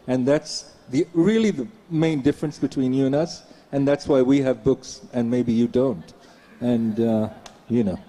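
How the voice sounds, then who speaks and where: A man makes dry, wry remarks and then recites his ghazals in a deliberate, sardonic manner at a literary festival. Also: mid-range voice, mellow. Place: festival stage, audience present.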